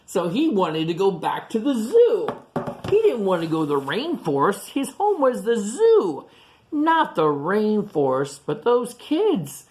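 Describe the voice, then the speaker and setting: A woman reading a picture book aloud to children, chatting to them in animated asides and questions. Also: medium pitch, slow pace. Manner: animated asides to young listeners, asking questions. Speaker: storytime reader.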